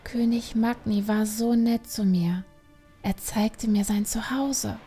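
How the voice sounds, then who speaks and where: A restless young panda heroine played by a woman from a fantasy audiobook, with a fairly high voice. She is defiant and full of feeling, and draws out her words over a music bed. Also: fairly even intonation, slow pace, mellow, slightly rough. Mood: wistful, frustrated. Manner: emotional, reproachful, dramatic. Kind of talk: dramatised fantasy audiobook dialogue.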